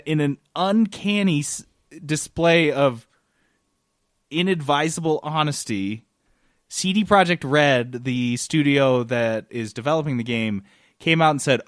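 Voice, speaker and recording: A man's voice: fairly high pitch, measured pace, fairly bright tone, dry recording, clean studio sound. A man hosting a podcast in casual, jokey chat, with a wry and playful manner. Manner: wry, playful, mimics others in mock quotes. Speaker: podcast host.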